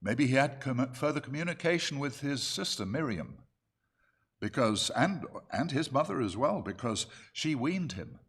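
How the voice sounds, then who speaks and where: A man preaching an expository Bible sermon in a measured, explanatory way with reflective pauses. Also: on the low side, a bit bright. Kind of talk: sermon, expository Bible teaching with scripture reading.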